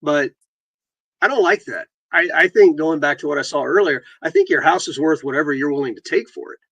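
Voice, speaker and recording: A man co-hosting a casual real estate talk show, reading headlines aloud and joking, with halting restarts. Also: vocal fry, fairly bright tone, breathy edge, dry recording, muffled recording. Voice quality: deep voice. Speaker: podcast host and realtor.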